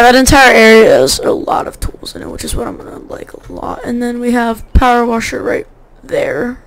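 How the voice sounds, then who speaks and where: A woman's voice: a little high, creaky. A woman giving casual, rambling commentary over gameplay, her voice a little sore, often correcting herself as she goes. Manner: rambling, self-correcting, chatty. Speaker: gaming YouTuber.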